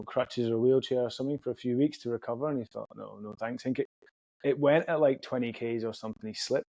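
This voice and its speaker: A man's voice: mid-range voice, darkish tone, no room sound, poor audio. A man hosting a casual podcast chat, jokey and chatty with plenty of fillers and restarts. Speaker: podcast host.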